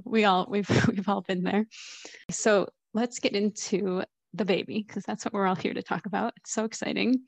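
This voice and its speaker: A woman in her thirties hosts a casual interview, prompting her guests with encouraging questions. Her voice stays flat and carries a gravelly fry. The recording sounds dry and close. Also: medium pitch, normal speed, no room sound, low-quality recording. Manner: encouraging, asks follow-up questions. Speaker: podcast host.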